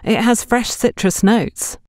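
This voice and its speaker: A woman's voice: a little high, slow pace, no echo, studio quality, pitched above the performer's own voice. A woman voicing a polite, earnest young female sales trainee who is eager to help. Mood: anxious, polite.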